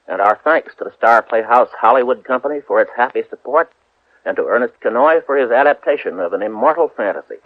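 A male host speaks in formal, scripted old-radio style. His pitch is medium for a man. The recording is close and dry but thin and muffled, like a vintage broadcast. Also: no echo.

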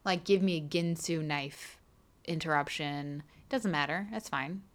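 A woman's voice: low-pitched, measured pace. A woman co-hosting a podcast in casual chat, teasing and joking. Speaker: podcast co-host.

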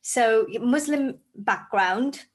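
A woman's voice: a little high, drawn-out words. A woman comedian who grew up in Zambia, chatting as a podcast guest in a bubbly way and thinking aloud as she goes. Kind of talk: casual interview chat.

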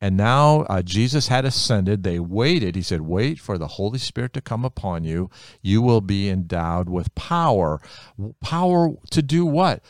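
A man who grew up in America, teaching the Bible in a relaxed conversation, animated and emphatic, often repeating phrases for effect. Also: lowish voice, measured pace, no room sound, clean studio sound.

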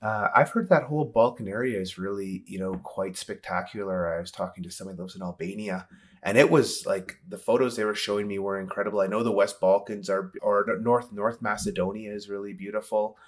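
A man with a fairly low voice hosts an interview. He talks casually and conversationally with his guest. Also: steady tone, fairly quick. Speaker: interview host.

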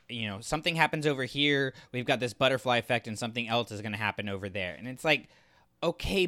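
Male co-host, crisp forward tone, close dry sound, casual analysis, halting and thoughtful. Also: medium pitch, sharp tone, no echo. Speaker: podcast co-host.

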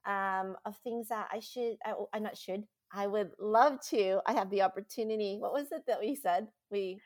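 Woman, podcast guest, warm rambling chatter with frequent laughs. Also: medium pitch, moderate pace. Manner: laughs often, rambling and enthusiastic. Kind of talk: casual podcast chat.

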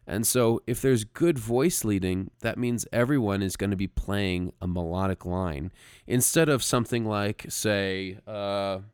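A man co-hosting a podcast in a casual style, talking haltingly and repeating words as he forms his thoughts. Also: lowish voice, darkish tone. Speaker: podcast co-host, music arranger.

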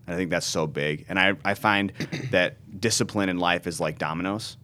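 Man, 30s, very low voice, pastor, earnest interview storytelling, repeats words. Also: moderate pace. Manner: earnest, restarts words when excited. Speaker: pastor and ministry founder.

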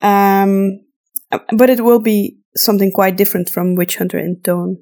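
A woman's voice: mid-range voice, fairly even intonation, normal speed, dark tone. A woman co-hosting a podcast in casual, unscripted chat, chiming in to add to the points being made. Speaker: podcast co-host.

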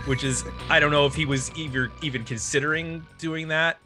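A man casually discussing music as a podcast host, talking in a hesitant, halting way. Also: a little high, fairly even intonation.

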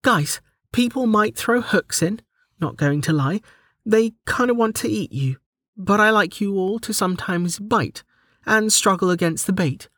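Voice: medium pitch, measured pace, a bit bright, no room sound, studio quality, voice pushed up. A narrator voicing a young female dungeon spirit who is chatty, bossy and playful, speaking casually in an audiobook story. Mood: stern. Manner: casual, hesitant, mock-stern.

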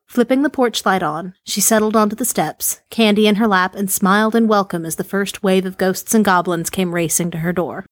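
A woman's voice: mid-range voice, normal speed, few pauses, no echo, studio quality. Woman reading a horror story. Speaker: story reader.

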